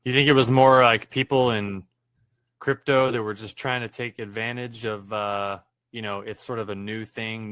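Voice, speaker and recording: A man's voice: on the low side, fairly bright tone, dry recording. A man co-hosting a webinar on a video call who asks questions and rephrases them plainly. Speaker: co-host. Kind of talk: casual interview questions.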